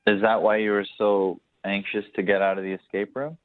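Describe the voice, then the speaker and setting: A man's voice: fairly low pitch, level tone, brisk. A man on a radio phone-in, talking casually and asking questions as a caller. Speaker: phone-in guest on a radio dating segment. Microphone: phone call. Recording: thin, band-limited phone line.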